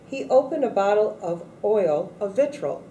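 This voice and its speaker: A woman reading a children's picture book aloud in a deliberate way, acting out the quoted speech a little. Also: medium pitch, lively intonation, warm tone, far from the mic. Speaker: storybook reader at a read-aloud event. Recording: echoey room, off-mic, faint noise.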